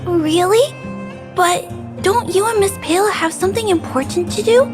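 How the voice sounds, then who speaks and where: A woman voicing a sweet, polite, timid little girl in an anime-style video game, with a gentle, childlike manner. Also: extremely high pitch, moderate pace, music in the background. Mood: shy, grateful.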